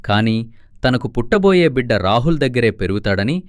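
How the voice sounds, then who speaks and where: A man narrating a scripted storybook tale in a steady way. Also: medium pitch, normal speed, no room sound, studio quality. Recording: faint background music.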